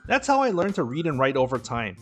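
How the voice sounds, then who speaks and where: A man giving scripted voice-over narration for his vlog, in a steady, instructive, advice-giving manner. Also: medium pitch, brisk, faint music behind. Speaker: dating and language vlogger.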